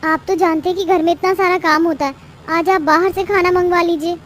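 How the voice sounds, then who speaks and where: Woman voicing a very high-pitched, quarrelsome young daughter-in-law. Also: steady tone, a little fast, fairly bright tone, slight background noise. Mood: weary, apologetic. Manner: scolding, exasperated. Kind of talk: Hindi moral-story cartoon dubbing.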